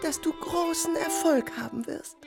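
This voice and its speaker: A woman's voice: very high voice, normal speed. An actress playing a fond, trusting, hopeful mother in a radio play. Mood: fond, confident. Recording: loud music behind.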